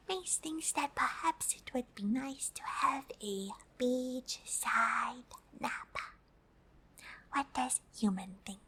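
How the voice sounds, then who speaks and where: A woman's voice: high-pitched, pushed and bright, slight background noise, heavily acted. A woman voicing a friendly, polite alien who is curious about humans, speaking hesitantly in an ASMR roleplay. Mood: soft, pleased. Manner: hesitant, speaks of self in third person.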